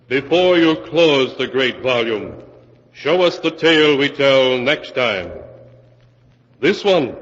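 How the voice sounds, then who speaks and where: A man's voice: mid-range voice, a bit bright. A man narrating a scripted 1940s radio mystery as announcer, solemn, portentous and theatrical. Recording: muffled old-time radio recording.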